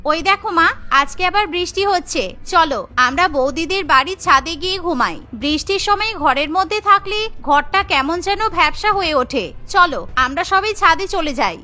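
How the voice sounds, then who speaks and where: A young cartoon sister-in-law, played by a woman, with a very high, bright voice. She sounds carefree and breezy over faint music. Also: animated, moderate pace, exaggerated voice. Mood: eager. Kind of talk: cartoon story dialogue.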